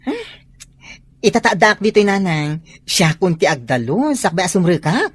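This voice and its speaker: A woman's voice: lowish voice, very animated, unhurried, husky, no echo, full character voice. A woman playing an affectionate, dutiful young newlywed wife in an audio comedy drama.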